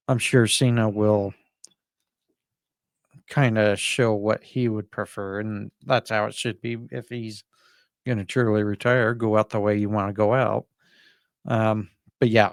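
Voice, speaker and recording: A man's voice: lowish voice, fairly even intonation, measured pace, no room sound, studio quality. A man co-hosting a podcast in casual sports talk, rambling a little as he thinks aloud. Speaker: podcast co-host. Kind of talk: casual sports prediction chat.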